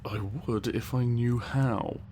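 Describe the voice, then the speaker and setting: A man's voice: mid-range voice, steady tone, slow pace, mellow, a little hiss or hum, natural voice. A male voice actor playing a grumpy, superior lab scientist in an ASMR audio roleplay, dry and curt. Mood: exasperated.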